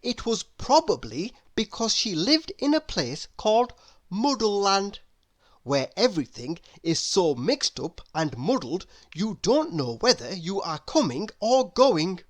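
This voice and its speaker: Very animated woman reading a storybook. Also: medium pitch. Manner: deliberate, expressive storytelling. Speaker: storybook narrator. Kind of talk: scripted children's read-aloud narration.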